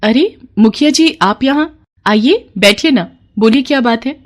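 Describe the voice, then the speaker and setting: A woman voicing a gentle, worried young eldest sister in a storybook tale, earnest and plaintive. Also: fairly high pitch, animated, unhurried, pitched above the performer's own voice.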